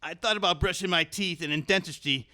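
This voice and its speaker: A man's voice: sits high, a little fast, bright, forceful tone. A man in a radio comedy playing a bumbling, absent-minded old dentist, hesitant and muttering as he repeats himself. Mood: rambling, muddled. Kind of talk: comic radio-play acting.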